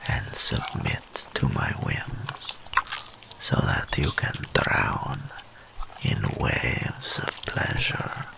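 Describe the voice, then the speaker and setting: Man acting a deep, very slow seductive demon incubus. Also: exaggerated voice, rough recording. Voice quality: breathy, often dropping into whispers. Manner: slow, drawn-out, mock-sympathetic purring taunts. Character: seductive, teasing, dominant male demon incubus. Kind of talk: intimate audio roleplay, ASMR-like.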